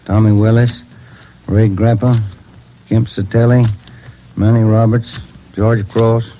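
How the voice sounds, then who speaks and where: A man's voice: fairly low pitch, flat delivery, takes it slowly. A man acting a wry, workaday American detective partner in a 1940s radio crime drama. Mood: wry, businesslike. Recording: dull, noisy 1940s radio recording.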